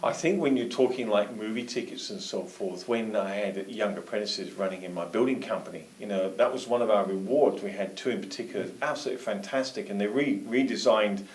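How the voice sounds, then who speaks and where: A man hosting an interview, chatty and quick to laugh. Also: lowish voice. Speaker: interview host. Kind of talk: conversational interview. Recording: roomy, from a distance.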